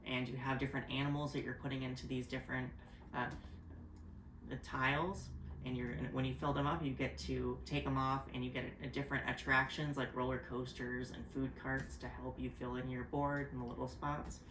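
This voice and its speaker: A man of middle pitch walks through board game rules in a relaxed, halting way, filling gaps with "uh". The sound is roomy, with a faint background hiss. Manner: hesitant, many "uh" fillers, explains game rules. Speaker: board game reviewer and co-host. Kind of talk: casual chat. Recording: roomy echo.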